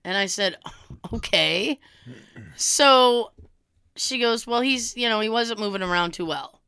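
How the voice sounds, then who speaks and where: A young woman, hoarse from a cold, telling a story in casual chat and acting out quoted dialogue with a swear now and then. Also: fairly high pitch, unhurried, pushed and bright, no room sound.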